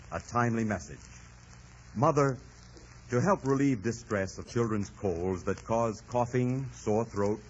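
A man's voice: mid-range voice, slight background noise. A man announcing and reading sponsor copy in the scripted style of 1940s radio. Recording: muffled old radio broadcast, faint music.

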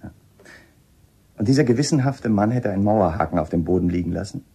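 A man's voice: fairly low pitch, animated, quick pace, dark tone, slightly rough, heavily acted. A man acting a shrewd, polite police inspector in a radio drama, speaking in a probing, matter-of-fact, slightly hesitant way. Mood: probing, firm.

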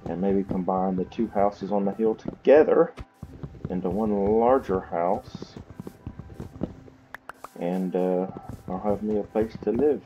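A man's voice: fairly low pitch, warm tone, muffled recording. A man with a Southern US accent rambling casually to himself as he gives gameplay commentary. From Southern United States. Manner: self-talking, rambling. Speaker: gaming series host. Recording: clearly noisy home recording with clicks.

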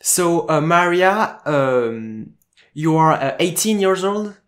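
A man's voice: fairly high pitch, animated. Young man hosting a video call interview. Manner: halting questions with many fillers. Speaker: host. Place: home room. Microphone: earphone mic on a video call.

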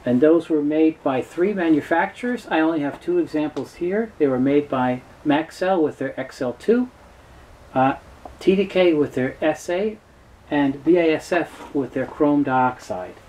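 Mellow-voiced middle-aged man explaining. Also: a little high, a little slow, slight background noise. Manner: matter-of-fact, explanatory. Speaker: presenter, tape seller. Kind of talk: informal product explainer to camera. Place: small cluttered indoor room full of shelves and tapes.

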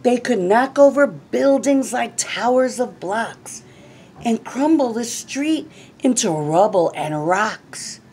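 Noise sits clearly behind this middle-aged woman as she reads a children's story in a small room. Her voice is on the high side, and she takes it very slowly. She delivers each phrase deliberately and with expression, as a storyteller for young children. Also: lively intonation, a little mellow. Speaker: storyteller reading a picture book to children. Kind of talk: read-aloud storytelling. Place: small classroom, indoors. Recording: clearly noisy room recording.

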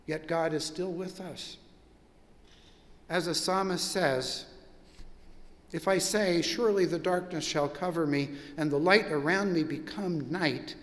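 Older pastor preaching slowly in a reverberant church. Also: male voice, on the high side. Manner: measured, reflective preaching with drawn-out pauses. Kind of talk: sermon. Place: large church sanctuary with stone wall.

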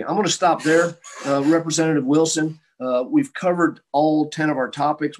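An older man giving prepared testimony at a town hall over a video call from a room at home. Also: mid-range voice. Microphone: computer mic on video call.

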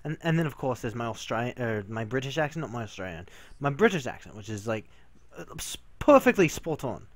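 A man's voice: medium pitch, very animated, a little fast, no room sound. A man casually chatting while playing a co-op zombie game with a friend, joking, rambling and laughing often. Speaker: gameplay commentator. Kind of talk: casual gameplay chat with a friend.

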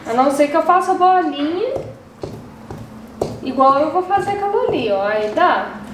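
A woman's voice: high-pitched, lively intonation, moderate pace, reverberant, background noise. A young woman chatting to viewers in a casual, exasperated way as she cooks in her home kitchen. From Brazil. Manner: exasperated, chatty, talks to viewers while cooking. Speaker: channel host. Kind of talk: casual cooking vlog chat.

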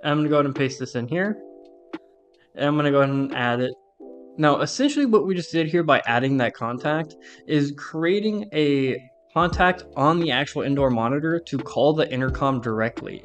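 Male tutorial presenter, mid-pitched, conversational and informal, walking through steps with music behind. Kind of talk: casual step-by-step software walkthrough.

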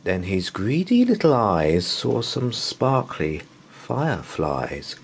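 A man's voice: on the low side, wildly swooping pitch, creaky, slight background noise. A man reading a children's bedtime story aloud in a playful, theatrical way. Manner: playful, theatrical, drawn-out delivery. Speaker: storybook reader.

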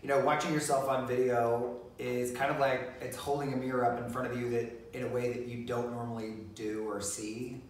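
A man's voice: medium pitch, level tone, fairly quick, few pauses, distant mic, slight background noise. A middle-aged man co-hosting a casual chat, rambling with lots of fillers and restarts. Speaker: co-host. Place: living room, seated on a leather sofa. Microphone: phone camera from across the room. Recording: very echoey room, voice off-mic.

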